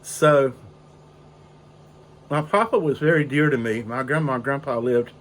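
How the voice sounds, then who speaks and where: An older man, raspy and hoarse from a cold, rambling slowly through a casual story on his porch. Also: mid-range voice, a bit bright, a little hiss or hum. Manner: slow, rambling, with frequent fillers. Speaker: pipe-smoking video host. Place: outdoor porch in drizzling rain.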